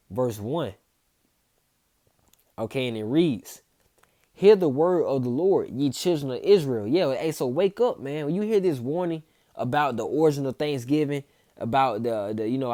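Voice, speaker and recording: Man, loose repetitive preaching, warm low timbre, dead-dry recording. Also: no room sound. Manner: casual, repeats himself, tags lines with "man" and "okay". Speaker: street preacher. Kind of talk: informal scripture teaching.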